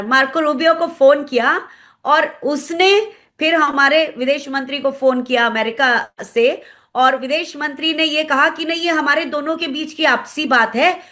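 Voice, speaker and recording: A woman with an Indian accent giving analytical commentary in an interview, explaining things and pausing to search for words. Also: sits high, sharp tone. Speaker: guest commentator, former pilot.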